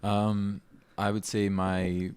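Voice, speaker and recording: A young man from Minnesota with a very low voice talks in an easy, thoughtful way, pausing on fillers as he answers questions. The sound is dry and close, with no room echo. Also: clear, resonant tone. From Minnesota, USA. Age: 20s. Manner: relaxed, thoughtful, trailing fillers. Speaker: pianist guest. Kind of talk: casual conversational interview.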